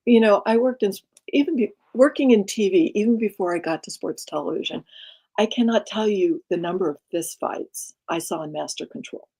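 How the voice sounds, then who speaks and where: A middle-aged woman executive coach with a soft, breathy, laid-back voice, speaking calmly in an interview. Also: mid-range voice. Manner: calm, unhurried, laid-back. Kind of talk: conversational interview.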